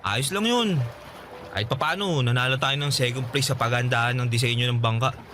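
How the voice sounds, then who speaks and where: A man's voice: mid-range voice, moderate pace, pushed and bright, slight background noise, lightly acted. A male actor playing an easygoing, forgiving young man comforting his buddy, with casual, reassuring radio drama dialogue.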